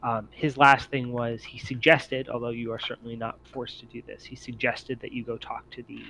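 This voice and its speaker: A man improvising narration as game master of a tabletop roleplaying game, often halting as he thinks aloud. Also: medium pitch, little pitch movement, creaky, sharp tone, slight background noise, poor audio.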